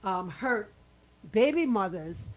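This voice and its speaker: A woman's voice: medium pitch, expressive, takes it slowly, rough recording. A woman with a Caribbean accent hosting a casual radio discussion, speaking bluntly and indignantly with pointed rhetorical questions. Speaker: radio host.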